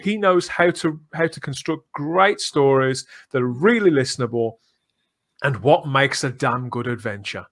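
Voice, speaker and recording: Male podcast host, mid-pitched, chatty and discursive, with keen fan enthusiasm. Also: expressive, normal speed, a bit bright.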